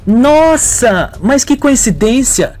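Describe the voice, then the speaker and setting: An innocent young woman in a radio soap, played by a man, timid and easily frightened. Her voice is pushed very high and swoops around, polite and hesitant in manner. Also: very animated, slow pace, a little mellow, faint music behind, full character voice. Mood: surprised, friendly.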